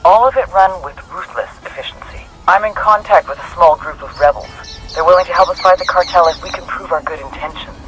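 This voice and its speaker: An actor playing a brisk, matter-of-fact intelligence handler giving a scripted mission briefing in a game. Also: female voice, on the low side, fairly bright tone, slight background noise, natural voice, low-quality recording. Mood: businesslike. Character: businesslike intelligence handler briefing special forces soldiers.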